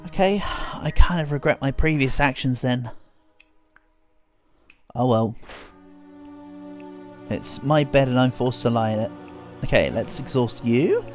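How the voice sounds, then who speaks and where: A man's voice: mid-range voice, measured pace, long pauses, faint music behind. A man streaming a video game, chatting casually and rambling, sounding a bit congested with a cold coming on. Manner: chatty, rambling, self-deprecating. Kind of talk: casual game livestream chat.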